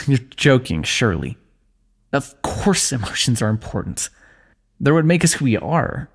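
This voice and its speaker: A man voicing a kingdom's ruler who gently chides and teases a wounded companion while tending his injury, in an intimate fantasy roleplay. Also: medium pitch, very animated, measured pace, gravelly, slightly rough. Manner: gentle, chiding, teasing, close and intimate. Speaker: ASMR roleplay performer. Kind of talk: scripted fantasy ASMR roleplay.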